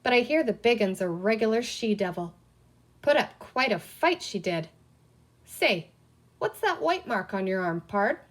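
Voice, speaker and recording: A woman's voice: medium pitch, very animated, measured pace. A woman voicing a playful little man who acts the outlaw, with folksy, clipped slang, in a storybook reading. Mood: gossipy.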